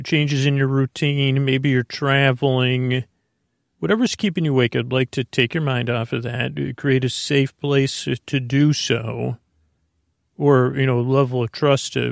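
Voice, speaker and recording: A man with a creaky, gravelly voice drifts through a slow bedtime ramble, losing his thread and circling back. The sound is close and dry, with no room around it. His pitch sits in an ordinary middle range. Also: no room sound. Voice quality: creaky, dulcet. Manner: meandering, slow, self-interrupting. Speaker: sleep podcast host.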